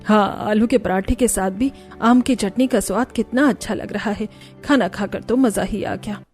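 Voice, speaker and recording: A woman's voice: mid-range voice, moderate pace, a little mellow, soft background music, pitched below the performer's own voice, close to an everyday voice. A woman voicing an older, food-fussy mother-in-law in a cartoon family drama. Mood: pleased.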